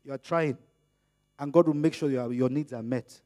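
A middle-aged man whose first language is Yoruba, preaching a sermon in an animated way, acting out little dialogues as he goes. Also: moderate pace. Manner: animated, acts out dialogues with rising pitch and volume.

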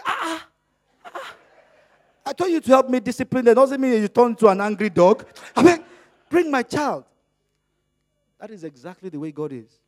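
A middle-aged man preaching with a high, bright voice. His first language is Yoruba. He acts out the exchanges in his stories, letting his voice climb and grow louder as he does. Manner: animated, acts out dialogues with rising pitch and volume. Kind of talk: sermon.

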